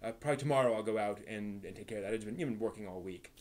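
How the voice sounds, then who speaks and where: A man hosting a casual live stream, rambling analytically as the host, often halting and repeating words while thinking aloud. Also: lowish voice. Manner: analytical, explanatory.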